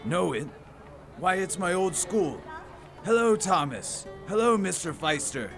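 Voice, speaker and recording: A crotchety, fearful old miser in audio theater. The voice is high for a man and heavily creaky, querulous and sputtering, with music underneath. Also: lively intonation, a little slow, fairly bright tone, full character voice. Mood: wistful.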